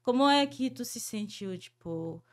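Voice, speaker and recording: Woman, French first language, podcast host, hesitant, fillers, restarts, fairly high voice. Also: lively intonation, measured pace. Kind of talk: conversational interview.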